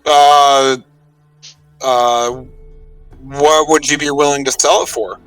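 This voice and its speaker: A man's voice: medium pitch, steady tone, slow, fairly bright tone, smooth, no room sound, distant mic, soft background music, poor audio. A man playing a tabletop roleplaying game, talking casually and somewhat hesitantly as a player.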